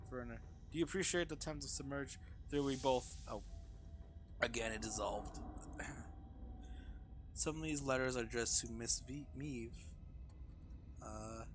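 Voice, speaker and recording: A man's voice: medium pitch, noisy background. A man streaming a game, reading its text aloud with casual reactions and often stumbling over the words.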